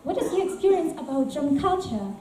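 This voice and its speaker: A woman's voice: sits high, big pitch swings, brisk, warm tone. A woman playing a newcomer from Russia who talks eagerly about her new home in an amateur stage performance. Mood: enthusiastic. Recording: echoey room from far off, faint noise.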